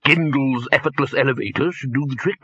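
A man's voice: medium pitch, a little fast, a bit bright, breathy edge, dry recording. A man doing a hesitant, fussy wizard chair. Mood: puzzled. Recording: dull lo-fi, dry.

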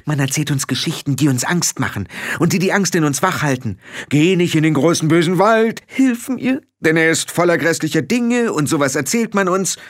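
A man's voice: fairly high pitch, animated, moderate pace, pitched above the performer's own voice, natural voice. A man reading a storybook aloud, voicing a headstrong young girl witch who fires off indignant questions. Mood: defiant.

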